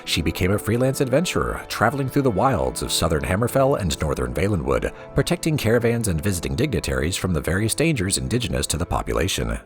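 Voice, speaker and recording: Male narrator, low register, highly varied intonation, reading storybook prose over background music. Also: very animated, fast talker, few pauses. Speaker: let's play host reading in-game books aloud.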